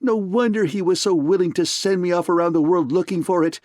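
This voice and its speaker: A man voicing a shrill, spluttering, tearful man in an audiobook reading. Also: brisk, a little mellow, dry recording, clean studio sound, natural voice. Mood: frantic.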